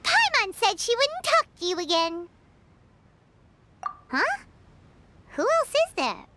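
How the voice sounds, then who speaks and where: A woman voicing a small, childlike, excitable and easily flustered fairy companion in a video game. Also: extremely high pitch, takes it slowly, very noisy, exaggerated voice. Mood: surprised. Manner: speaks of herself in the third person, exclamatory. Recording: game music behind the voice.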